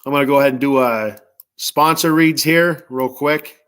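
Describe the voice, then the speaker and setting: A man's voice: medium pitch, normal speed, a bit bright, smooth. A man hosting a casual live sports talk stream, blunt and rambling, swearing freely, with a stuffy nose. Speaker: sports talk show host.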